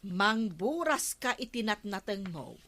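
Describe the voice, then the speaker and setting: A woman playing a chatty, nosy village neighbour in a comedy radio drama, conversational and expressive. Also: mid-range voice, a little slow, exaggerated voice. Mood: persuading.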